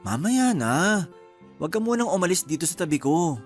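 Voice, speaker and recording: A man's voice: a little high, very animated, moderate pace. A man with a Filipino accent acting an affectionate, tired young husband in a Tagalog radio drama. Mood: tender, sleepy. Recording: music behind the voice.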